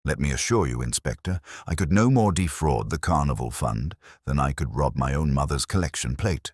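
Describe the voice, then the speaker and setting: A man's voice: very deep voice, expressive, normal speed, a little mellow, husky, no echo, studio quality, exaggerated voice. A man playing a grandiose, self-pitying small-town mayor, florid and defensive, in a mystery story. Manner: florid, speechifying.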